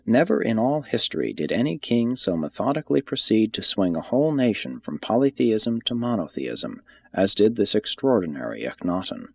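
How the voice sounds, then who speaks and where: A man reading a religious text aloud as scripted narration, in a measured, formal manner. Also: lowish voice, big pitch swings, creaky, breathy edge, no echo. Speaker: audiobook reader.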